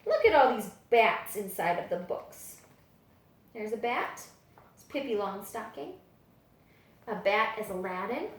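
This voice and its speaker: A woman reading children's picture books aloud as a story-time librarian, with dramatic, expressive storytelling. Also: mid-range voice, unhurried, pauses often. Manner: dramatic, animated storytelling delivery. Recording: echoey room.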